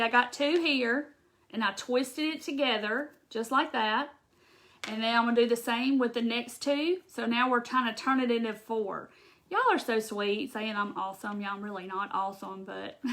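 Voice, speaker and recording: Chatty Southern woman, bright voice. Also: on the high side. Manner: chatty, talks straight to viewers and answers comments; rambling with restarts and laughs. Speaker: craft video host. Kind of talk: live craft demonstration.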